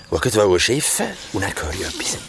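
A man with a Swiss German accent playing an ordinary, excitable guy who retells a horror date in an audio drama, colloquial and vivid. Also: deep voice, fairly quick, noisy background. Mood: uneasy. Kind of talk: audio drama first-person storytelling. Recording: studio audio drama with music underneath.

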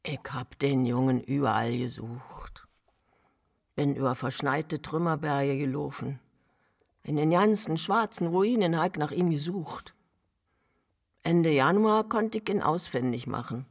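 Old berlin widow for a radio play, crackly raised voice, stubborn n proud, rambles on in dialect. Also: male voice, on the high side, measured pace, vocal fry. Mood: sombre. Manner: chatty, rambling reminiscence in colloquial dialect. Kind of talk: radio drama monologue.

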